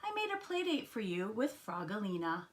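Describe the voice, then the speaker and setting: A woman's voice: medium pitch, sing-song, normal speed, natural voice. Woman as a patient, soothing frog mom. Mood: reassuring. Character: patient frog mother, soothing but firm.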